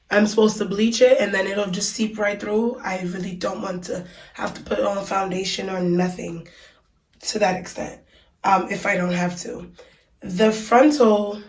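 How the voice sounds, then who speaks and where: A woman's voice: medium pitch, a little mellow. A woman with a stuffy voice from a cold, chatting casually through a hair unboxing review with lots of ums and likes. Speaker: beauty vlogger reviewing hair. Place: small bathroom. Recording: echoey room, mic at a distance.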